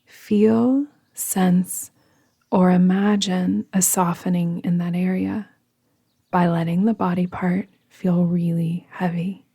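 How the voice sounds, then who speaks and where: A woman guiding a yoga nidra meditation in a soothing, gentle, deliberate manner, often trailing off into a whisper. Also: fairly low pitch, fairly even intonation, gravelly, husky. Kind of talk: guided meditation narration.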